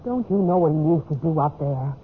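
An actress playing an anxious, sharp-tongued wife in a 1950s radio drama, urgent and melodramatic. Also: female voice, low voice, lively intonation, brisk, narrow, tinny audio. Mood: anxious, pleading. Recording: noisy, band-limited old radio recording.

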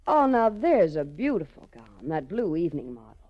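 A poised, dangerous lady racketeer in a 1940s radio crime drama. Her low voice swings freely from silky to imperious, with cool seductive assurance, on a muffled vintage broadcast recording. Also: big pitch swings, normal speed. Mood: imperious, confident. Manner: poised, haughty, coolly seductive. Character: cool, self-assured female crime boss muscling into a racket.

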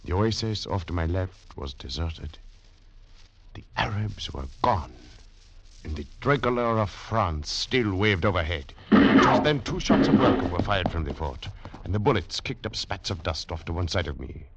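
An actor playing a grave, commanding French Foreign Legion major in old radio drama style, with clipped dramatic delivery. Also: male voice, low voice, animated, normal speed, fairly bright tone, a little hiss or hum. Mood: grave, tense. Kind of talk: 1940s-50s radio drama acting. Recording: dull old radio recording with music.

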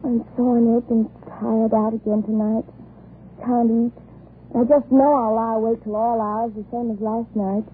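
A woman's voice: on the high side, flat delivery, moderate pace, a little hiss or hum, lightly acted. An actress playing a worn-out, self-pitying young woman in a 1940s radio commercial, on a tinny old recording. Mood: weary complaining. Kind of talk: 1940s radio advert acting.

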